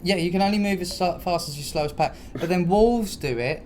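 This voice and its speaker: Guy podcast host w a slightly high voice, swears a lot, casual storytelling, noisy background. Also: fairly quick. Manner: animated storyteller, sweary, slangy, mimics noises and dialogue.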